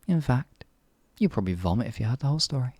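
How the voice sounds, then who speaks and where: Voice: mid-range voice, animated, quick pace, a little mellow, no room sound, natural voice. A performer voicing an evil, sadistic sorcerer in an ASMR fantasy roleplay, theatrical and condescending, stretching words and slipping into menacing whispers. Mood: gloating cruelty. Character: evil, sadistic, all-powerful sorcerer, gloating and cruel.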